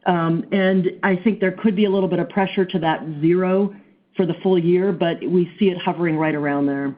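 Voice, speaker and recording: A woman's voice: on the low side, fairly even intonation, a little fast. A woman answering analyst questions as a finance executive on a business call. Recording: narrow, tinny phone line.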